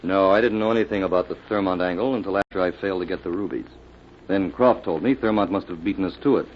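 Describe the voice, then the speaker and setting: An actor playing a desperate, sullen gangster in an old-time radio crime drama. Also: male voice, low voice, fast, natural voice. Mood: sullen confessing. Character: desperate cornered male gangster turned sullen confessor. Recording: muffled, noisy old radio recording.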